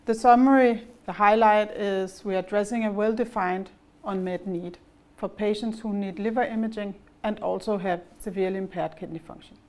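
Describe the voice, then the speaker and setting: Middle-aged Danish-accented woman, company executive, calm explanatory conference talk at a mid-range pitch. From Denmark. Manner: measured and explanatory, with occasional fillers and restarts. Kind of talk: investor conference presentation.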